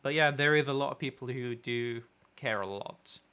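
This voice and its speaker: A young man with a London accent chatting casually with his partner in a relaxed, wordy way, sometimes searching for words. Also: mid-range voice, normal speed, smooth. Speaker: photographer and vlogger, co-host.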